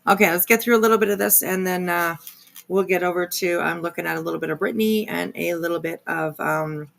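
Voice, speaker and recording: A woman with a fairly low, heavily creaky voice hosts a casual livestream reaction. She rambles through her commentary in a sardonic, confrontational way. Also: quick pace, fairly bright tone. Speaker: livestream host reacting to another creator's video.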